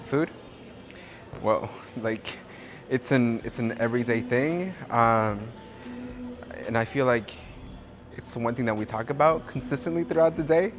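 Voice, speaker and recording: A man's voice: mid-range voice, measured pace, pauses often, over music. A young man answering interview questions thoughtfully, with many fillers and restarts.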